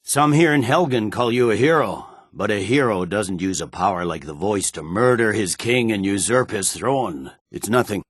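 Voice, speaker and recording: Imperial general, middle-aged: proud, impatient, forceful and authoritative, dry close sound, for a fantasy game. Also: male voice, mid-range voice, no echo. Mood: stern, controlled. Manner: commanding, clipped military authority, deliberate emphatic delivery. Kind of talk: scripted video game voice acting.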